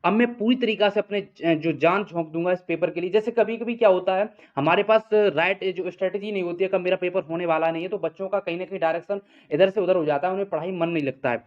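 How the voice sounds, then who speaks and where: A man's voice: sits high, fast talker, few pauses. A man speaks like a coaching teacher briefing students. He explains informally, circles back over his points and keeps checking in. The recording is dull and lo-fi. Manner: repetitive, explanatory, frequent check-in tags. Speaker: exam coaching teacher and channel host. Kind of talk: informal exam update addressed to students.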